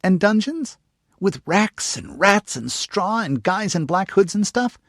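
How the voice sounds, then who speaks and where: A man voicing an eager, blunt young girl who talks excitedly in a raised voice. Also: no room sound, studio quality. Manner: excited, high and quick.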